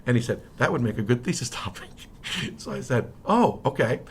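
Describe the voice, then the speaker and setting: A middle-aged man from Miami with an American accent, telling anecdotes as an interview guest in a halting, conversational way that often circles back to rephrase. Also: medium pitch, sing-song. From Miami, United States. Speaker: interview guest, history professor. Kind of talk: casual interview, anecdotal storytelling. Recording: noticeable background noise.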